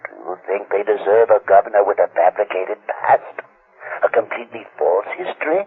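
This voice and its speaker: A man's voice: lowish voice, normal speed, breathy edge, phone-quality sound. A man acting in a radio drama as a condescending, controlling male handler, avuncular yet coldly menacing, with theatrical emphasis. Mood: scolding. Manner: patronizing, theatrical, swooping emphasis. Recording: thin, band-limited old radio sound.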